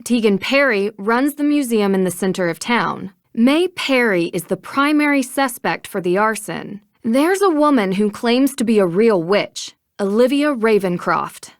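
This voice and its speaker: A woman's voice: fairly high pitch, animated, no echo, studio quality. Earnest young American woman detective. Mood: earnest, pondering. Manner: polite, measured questioning. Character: earnest, persistent young female amateur detective. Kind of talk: video game voice acting.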